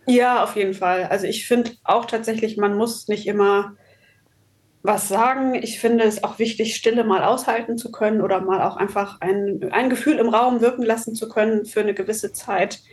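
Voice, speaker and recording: A woman's voice: mid-range voice, fairly even intonation, normal speed, darkish tone. A woman speaking as an author being interviewed, chatty and reflective, articulate, with the odd English word mixed in. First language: German. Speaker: author and copywriter, interview guest. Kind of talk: casual, reflective interview conversation.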